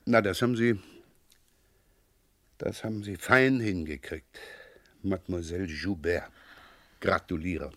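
An actor playing a stern, sardonic French police inspector in a 1980s German radio crime drama, insistent and dryly sarcastic. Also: male voice, low voice, a little slow, pauses often, dry recording. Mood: bitterly sarcastic.

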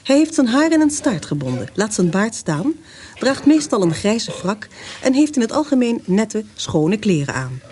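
A woman in a Dutch radio play, deliberately reading out a detailed description. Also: fairly high pitch, sing-song, darkish tone. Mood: descriptive. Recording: slightly noisy radio play recording.

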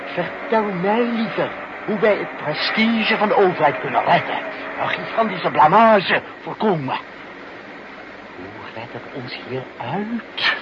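A blustery dithering government bigwig in an old radio play, fairly high voice, hissy dull sound. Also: male voice, animated, measured pace. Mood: exasperated. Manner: blustering, hesitant, rhetorical. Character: pompous, flustered senior government official, grumbling and dithering. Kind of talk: Dutch radio play acting.

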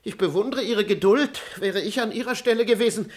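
An actor playing a servile, cowardly manservant in a classical comic radio play, obsequiously flattering his master. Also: sits high, brisk, natural voice. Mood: fawning.

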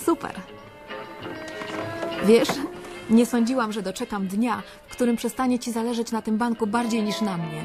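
An actress playing the narrator's dryly ironic partner in a radio drama, over music. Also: female voice, fairly high pitch, normal speed, long pauses, natural voice. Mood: wry. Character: teasing young woman, the narrator's partner. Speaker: girlfriend.

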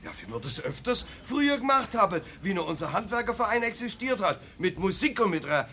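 A man's voice: high voice, big pitch swings, quick pace, sharp tone, slight background noise, full character voice. An actor playing a merry, sociable craftsman with a Bavarian accent in a radio play. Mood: merry, agreeable. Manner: sociable, agreeable. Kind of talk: 1970s radio play acting.

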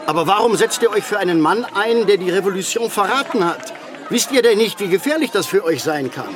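An actor playing a blunt revolutionary executioner, brusque yet servile, in a German radio drama. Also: male voice, high voice, animated, fairly quick, background noise. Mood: awed, wary. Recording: outdoor crowd and music bed behind.

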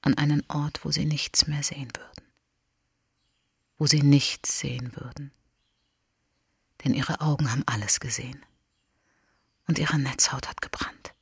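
An actor performing the solemn narrator of a poetic radio play in a breathy whisper. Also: mid-range voice, fairly even intonation, dry recording, clean studio sound, full character voice. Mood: hushed, haunting. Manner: breathy whispering, slow incantation.

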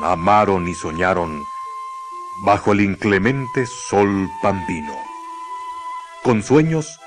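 A man narrating a radio drama in a solemn, literary, dramatic manner. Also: on the low side.

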